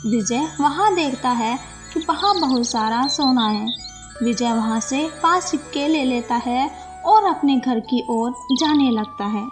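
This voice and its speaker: A woman storyteller reads a scripted bedtime fable. Her voice is high and her pace very slow. Music plays clearly behind the narration. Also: animated.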